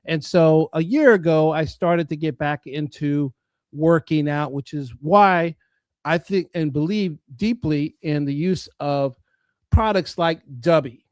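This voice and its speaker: A man's voice: on the high side, unhurried, a little mellow, dry recording. A man hosting an interview show, talking earnestly and often restarting mid-sentence. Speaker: host. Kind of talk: interview and sponsor talk.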